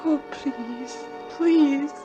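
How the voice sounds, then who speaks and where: A woman's voice: sits high, extremely slow, muffled recording. A woman playing a devoted, emotional young wife and mother in a 1940s radio drama. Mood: desperate pleading. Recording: vintage 1940s radio, faint music behind.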